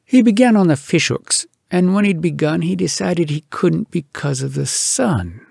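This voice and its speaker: Male storyteller for comic poetry: raspy, fairly high voice, expressive and theatrical, recorded close and dry. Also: no echo. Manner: theatrical, animated delivery with dramatic pauses. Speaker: storyteller reading poems aloud.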